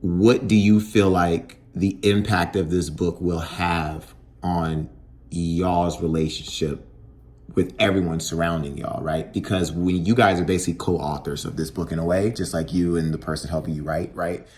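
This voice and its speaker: A man's voice: low-pitched, fairly even intonation, normal speed, darkish tone. A man hosting a podcast interview, easygoing and affirming as he asks long questions. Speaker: podcast host.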